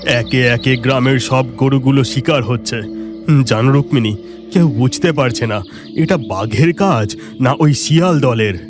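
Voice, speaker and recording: A man's voice: medium pitch, unhurried, music in the background, close to an everyday voice. A man voicing a concerned village fisherman husband in a cartoon, earnest and plain-spoken. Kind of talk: Bengali cartoon voice acting.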